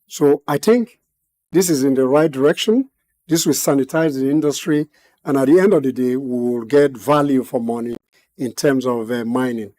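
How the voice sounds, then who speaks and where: Measured man, mellow voice. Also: mid-range voice. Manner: measured, many "uh" pauses, formal phrasing. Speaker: guest analyst. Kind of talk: studio interview commentary.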